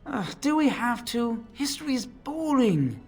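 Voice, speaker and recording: A high-voiced young boy squire in a fantasy game. He is bored and cheeky, with a whiny delivery. Faint music plays behind. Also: sing-song, unhurried, a little mellow, slightly rough, full character voice. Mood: bored, whining. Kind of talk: video game voice acting.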